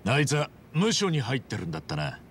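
A man voicing a brash, hot-blooded, good-humoured hero in a video game, talking in rough, punchy street slang. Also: medium pitch, huge pitch swings, fast talker, a little hiss or hum. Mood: measured, wry. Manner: rough, informal, punchy street-tough phrasing. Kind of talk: dramatic video game voice acting.

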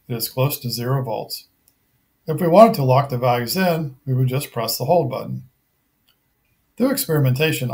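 Middle-aged man, medium pitch, scripted review narration, methodical, matter-of-fact. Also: a little mellow. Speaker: product reviewer.

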